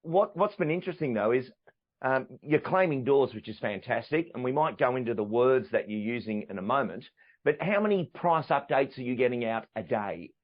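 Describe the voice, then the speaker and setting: A man's voice: mid-range voice, animated, fairly quick. A man hosting a sales podcast and interviewing a guest, with an enthusiastic, polished presenter delivery. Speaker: podcast host and sales trainer. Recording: dull lo-fi audio with faint music.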